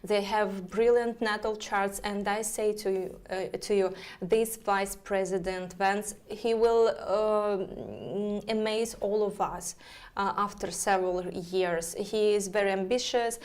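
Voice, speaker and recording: A woman astrologer with a Russian accent, speaking haltingly with many fillers as she talks to viewers of her live stream. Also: medium pitch, fairly even intonation.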